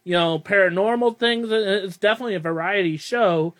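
A man's voice: high-pitched, brisk, a bit bright. A young man hosting a casual podcast, rambling and self-deprecating, laughing often. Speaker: podcast host.

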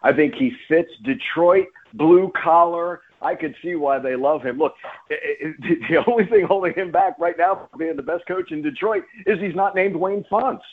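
A man's voice: a little high, moderate pace, breathy edge, narrow, tinny audio. A man talking as a guest announcer in a sports radio interview, emphatic and repeating phrases for stress.

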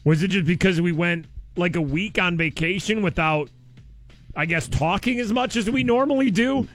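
A man hosting a casual morning radio show in a studio, a bit hoarse, talking in an animated, rambling way and laughing easily. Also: high voice, moderate pace, faint music behind. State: hoarse, losing his voice.

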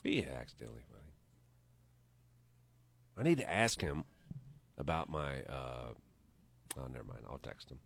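A man's voice: very deep voice, big pitch swings, normal speed, creaky, pauses often, slight background noise. A middle-aged man hosting a radio morning show, bantering casually and telling stories with jokes that trail off. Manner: joking, storytelling, trails off quietly. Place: radio studio. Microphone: studio microphone.

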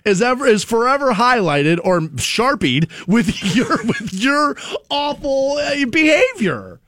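Lively, teasing radio host. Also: male voice, very high-pitched, takes it slowly, a little hiss or hum. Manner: teasing, ribbing his co-host. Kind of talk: casual radio banter.

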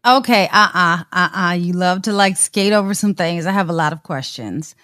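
A woman's voice: lowish voice, moderate pace, a bit bright. A woman co-hosting a casual podcast who asks many curious questions. Her voice is recorded close and dry, with no room echo. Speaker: podcast co-host. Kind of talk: casual chat.

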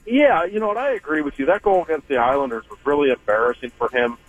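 A man's voice: a little high, fast talker, breathy edge, faint music behind. Man on a tinny phone line. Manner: relaxed, chatty, many fillers. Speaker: hockey reporter guest. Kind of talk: casual radio interview by phone.

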